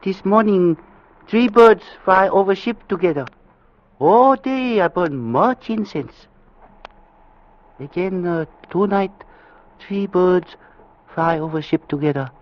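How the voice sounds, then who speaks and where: An actor playing a polite, superstitious ship's steward in a radio drama, speaking halting broken English with a put-on foreign accent. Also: male voice, high voice. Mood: ominous, deferential. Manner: halting, deferential, clipped broken phrases. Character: soft-mannered, superstitious male ship's steward, politely ominous. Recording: dull vintage radio recording.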